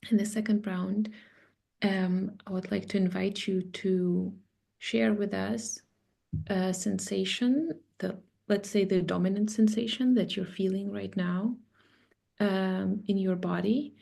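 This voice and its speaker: A woman from Russia gently guiding an online sharing circle, talking softly with many pauses and ums. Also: medium pitch, steady tone, creaky. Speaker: circle facilitator. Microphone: video call.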